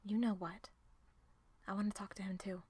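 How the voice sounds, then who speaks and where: A woman playing a distressed, guilt-ridden young woman in an audio drama, speaking haltingly and emotionally. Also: medium pitch, steady tone, darkish tone, slightly rough, close to an everyday voice. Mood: quiet, earnest, guilty. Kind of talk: audio roleplay drama acting.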